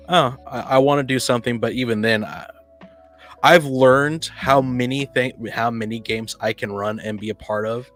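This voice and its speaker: A man with a medium-pitched voice talks casually as a podcast co-host about tabletop games. He is animated and often acts out imagined quotes. Faint music plays behind him. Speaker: podcast co-host and game master.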